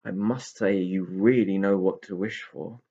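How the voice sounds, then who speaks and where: A man voicing an indignant but easily delighted young male pixie in a children's storybook, creaky and emphatic. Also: sits low, fairly even intonation, measured pace, dark tone, dull audio, full character voice. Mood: joyful. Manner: exclamatory, emphatic.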